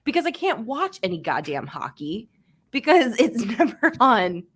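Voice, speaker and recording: A woman's voice: on the high side, very animated, moderate pace, breathy edge. A woman chatting casually as a sports podcast panelist, blunt and sardonic, with some casual swearing.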